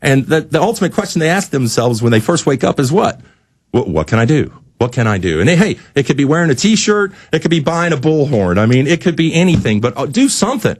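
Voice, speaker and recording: A man's voice: fairly high pitch, sing-song, a little fast, dry recording. A man speaking as a radio studio guest in an impassioned, preachy rant, with emphatic lists and repeated phrases. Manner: preachy, emphatic, rhetorical listing and repetition.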